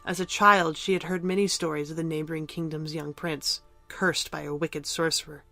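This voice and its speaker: A woman's voice: low voice, level tone, moderate pace, bright, forceful tone, soft background music. A woman narrator reading scripted fiction for an audio drama.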